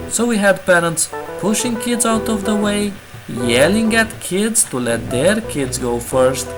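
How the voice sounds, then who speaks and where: A man's voice: medium pitch, rough recording. A man hosting a channel and reading entitled-parent stories aloud in a casual, jokey way. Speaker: host. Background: guitar music.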